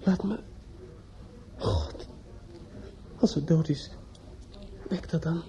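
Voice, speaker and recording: A man's voice: a little high, very animated, extremely slow, mellow, full character voice. An actor playing a distraught, desperate young man in a radio play, hesitant and stammering as he speaks. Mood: panicked muttering. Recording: muffled old radio play recording.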